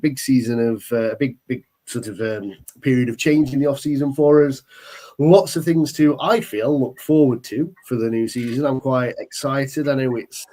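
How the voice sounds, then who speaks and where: A man's voice: lowish voice, darkish tone. A British man hosting a casual podcast chat, stuffy with a summer cold and rambling with many ums. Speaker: podcast host.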